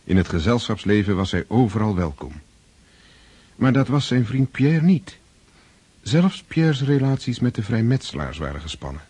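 An actor narrating a historical radio drama in a measured, formal storytelling manner. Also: male voice, lowish voice, sing-song, mellow, husky, no echo. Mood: composed. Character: composed male storyteller of a historical novel.

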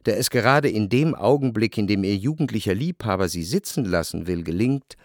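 Wry literary narrator, male, mid-range, highly inflected and pointed, close dry sound, radio drama. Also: animated, fairly quick, no room sound. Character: wry, ironic literary narrator of society intrigue.

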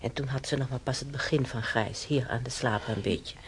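A woman's voice: extremely low pitch, fairly quick. An actress playing a chatty, wistful hospital patient in her seventies in a radio drama, rambling and homely as she reminisces. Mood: fond, proud. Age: 70s. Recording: noisy old radio play recording.

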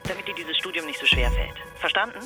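An actor playing an officious drama-school official in a radio play, speaking in a patronising, exaggerated sing-song way. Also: male voice, on the high side, fast talker, loud music behind the voice, close to an everyday voice.

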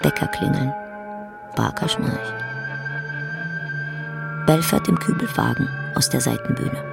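An actor as a narrator reading stage directions in a radio play, in clipped, terse, theatrical phrases. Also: male voice, on the high side, huge pitch swings, measured pace, gravelly, loud music behind the voice, heavily acted. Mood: announcing.

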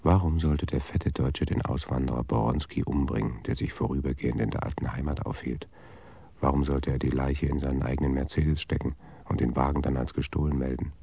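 An actor playing a brooding detective who muses questioningly to himself in a radio play. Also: male voice, extremely low pitch, steady tone, brisk, gravelly, dark tone, breathy edge, no echo, heavily acted. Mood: musing. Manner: musing, questioning. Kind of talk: radio play inner monologue.

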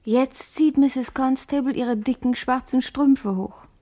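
Radio drama role: observant female dreamer, soft dark timbre, slightly high. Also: steady tone, takes it slowly. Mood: wondering. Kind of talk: poetic radio play.

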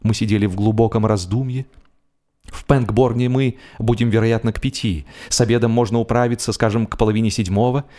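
A man narrating a humorous novel expressively, with a theatrical, deliberate delivery that draws out phrases for drama. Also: on the low side, steady tone, dark tone. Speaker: audiobook narrator.

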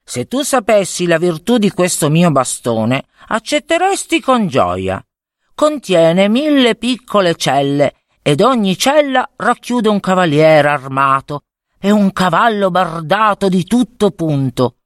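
Woman playing a coaxing old beggar man, animated. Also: on the low side, unhurried, no echo, clean studio sound, pitched above the performer's own voice. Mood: boastful, coaxing. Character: persuasive old beggar man with magic staff.

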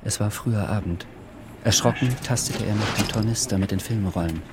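A man narrating a literary radio drama from a script in a measured, sober manner. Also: on the low side, noisy background.

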